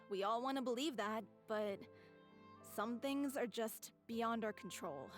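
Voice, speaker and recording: High-voiced devoted young stage actress. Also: female voice, lively intonation, soft background music. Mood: wistful. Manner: sincere, measured. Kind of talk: English video game voice acting.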